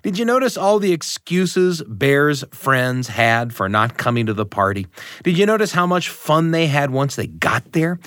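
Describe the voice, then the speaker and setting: A man hosting a radio show from a studio desk, friendly and talkative, tripping into quick restarts as his thoughts run ahead. The sound is dry and close, with no room around it. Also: medium pitch, no room sound. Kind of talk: casual radio talk.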